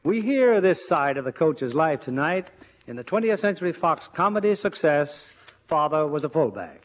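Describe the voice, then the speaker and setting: Animated man hosting vintage radio theatre. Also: fairly high pitch, normal speed. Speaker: radio theatre host and producer. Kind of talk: scripted, theatrical 1950s radio presenting. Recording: dull old radio broadcast recording.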